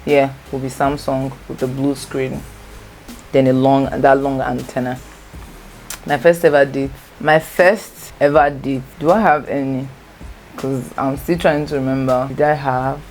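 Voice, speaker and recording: A woman's voice: a bass voice, level tone, unhurried, a little mellow, soft background music. A young Nigerian woman with an accent, Igbo as her first language, chatting casually and rambling through a storytime tag and correcting herself as she goes. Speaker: vlogger. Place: home, natural light.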